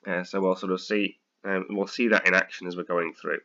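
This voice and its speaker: A British man with a slightly croaky voice, tutoring accounting students through a software lesson, hesitant with many ums and restarts. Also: low-pitched, level tone, a little fast, dull audio. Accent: British English. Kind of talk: screen-recorded software tutorial.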